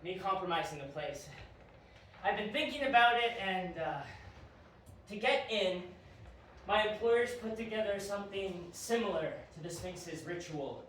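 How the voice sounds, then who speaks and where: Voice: mid-range voice, lively intonation, unhurried, a bit bright. An actor playing a cryptic, hesitant agent explaining an uncanny mission in an audio drama. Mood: confessing, grave. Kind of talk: audio drama acting, dialogue. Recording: very echoey, distant, faint noise.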